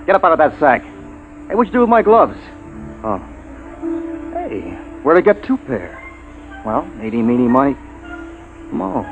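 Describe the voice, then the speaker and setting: A man's voice: on the high side, very animated, moderate pace, long pauses, faint music behind. An actor playing a grumbling, scatterbrained enlisted spaceman in an old-time radio drama with tinny sound. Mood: casual, muttering.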